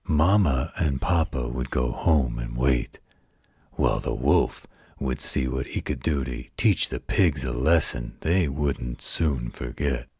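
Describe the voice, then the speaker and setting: An extremely low, heavily rasping werewolf played by a man, gruff but gentle and protective. He speaks in a folksy, unhurried way, as in a bedtime roleplay audio, close and dry. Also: no room sound, full character voice. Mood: storytelling. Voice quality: deep, gravelly, husky. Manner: folksy, slow, patient drawl with colloquial turns.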